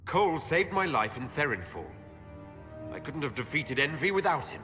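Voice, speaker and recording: An actor playing a firm, measured leader of an inquisition in a fantasy game. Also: male voice, fairly high pitch, big pitch swings, pushed and bright, soft background music. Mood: calm, questioning. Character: resolute male leader of an inquisition, firm and diplomatic. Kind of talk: dramatic fantasy game acting. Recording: game score behind dialogue.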